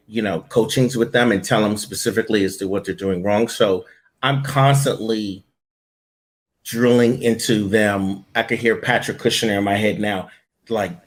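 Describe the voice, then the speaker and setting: A man speaking as an interview guest and coach, answering conversationally and emphatically, repeating his points for stress. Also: fairly low pitch.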